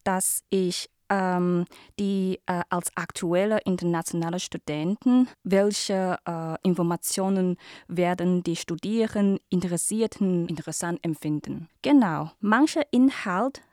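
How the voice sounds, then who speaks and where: A woman from Hong Kong in her thirties, a native Cantonese speaker, answering podcast questions carefully with many pauses and fillers. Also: lowish voice, mellow, dry recording, studio quality. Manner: careful non-native speech with many hesitations. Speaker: interview guest, student ambassador.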